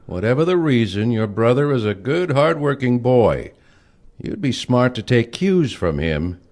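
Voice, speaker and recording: A man acting as a sly, crusty elderly grandfather in an audio play, speaking in a deliberate, halting way. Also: medium pitch, big pitch swings, darkish tone. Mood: stern, fond. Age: older.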